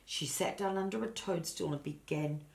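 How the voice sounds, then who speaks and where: Older female storybook narrator, low register, grandmotherly and expressive, speaking directly to children. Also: moderate pace, creaky, slight background noise. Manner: grandmotherly, addresses listeners and points out pictures. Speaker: storybook reader.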